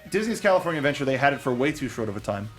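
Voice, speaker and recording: Man, podcast co-host, eager fan, chatty and rambling with plenty of ums, mid pitch. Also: very animated, racing, over music. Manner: enthusiastic, rambling with fillers and restarts. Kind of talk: casual chat.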